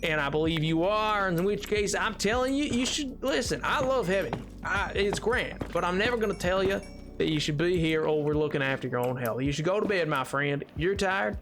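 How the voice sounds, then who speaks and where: A man's voice: high-pitched, animated, fairly quick, background noise. A man voicing an easygoing, polite cowboy with a drawling Southern US accent and a folksy, rambling, repetitive way of talking on a livestream. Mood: folksy, friendly. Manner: folksy, rambling, repeats himself. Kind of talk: improvised livestream banter. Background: music.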